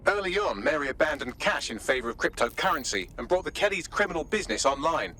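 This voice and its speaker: A cheeky mission guide in a video game. His voice is high, crisp and bright, rattling along quickly with wry good cheer. Some noisy ambience sits behind him. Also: very animated. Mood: brisk, informative. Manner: glib, wry, chipper. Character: cheeky, brisk male assistant guiding an operative.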